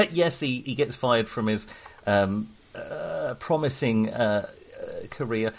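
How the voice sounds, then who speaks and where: A man's voice: mid-range voice, slow, gravelly. A man hosting a casual film discussion, speaking hesitantly and often correcting himself as he digresses. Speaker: podcast host.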